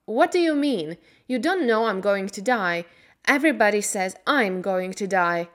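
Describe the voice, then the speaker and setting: A woman's voice: mid-range voice, lively intonation, moderate pace, fairly bright tone, close to an everyday voice. A woman voicing a sickly, self-pitying young boy in a storybook, with a whining, fretful manner. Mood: indignant. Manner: whining, tearful, indignant.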